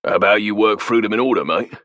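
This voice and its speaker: A man's voice: lowish voice, normal speed, a bit bright, no echo, pitched above the performer's own voice, lightly acted. Guy doing a hard cockney soldier, snappy, a bit raised, dry. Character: hulking male demolitions soldier, hard and snappy.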